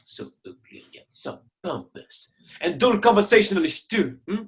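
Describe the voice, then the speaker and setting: A man's voice: high voice, sing-song, moderate pace. A man voicing a proud, grumbling ugly duckling in an irreverent radio play. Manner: grumbling, boastful, peppers speech with French. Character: proud, indignant ugly duckling who refuses to be swan.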